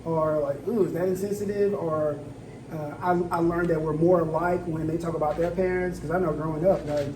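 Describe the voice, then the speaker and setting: A man's voice: a little high, steady tone, fairly quick, background noise. A man hosting a casual discussion who asks laid-back questions and often affirms his guests. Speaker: host. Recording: roomy, distant mic.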